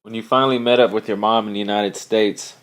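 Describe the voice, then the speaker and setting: Low-voiced man asking interview questions. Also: fairly even intonation, quick pace, a bit bright. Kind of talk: oral history interview questions.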